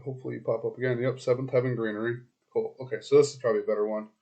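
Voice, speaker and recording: A man with a hoarse voice walks a client through his screen, talking casually and directly. His delivery is unpolished and conversational, full of ums, restarts and thinking aloud. His pitch sits in an ordinary middle range. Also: level tone, rapid-fire, mellow, highs cut off. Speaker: web marketing consultant. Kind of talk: casual screen-recorded walkthrough.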